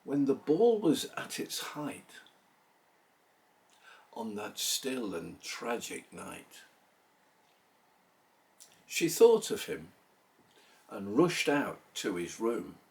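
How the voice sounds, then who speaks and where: A man giving a theatrical, measured recitation of a narrative poem, pausing between phrases. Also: medium pitch, huge pitch swings, a little mellow, recorded from a distance. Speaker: poetry reader.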